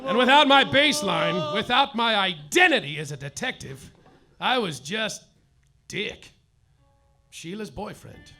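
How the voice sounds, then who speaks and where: A man playing a boozy, hard-boiled male private eye, narrating in a mock-noir deadpan that drags out its punchlines, live on a stage over music. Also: high-pitched, unhurried. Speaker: comedic detective narrator. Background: live music and audience laughter.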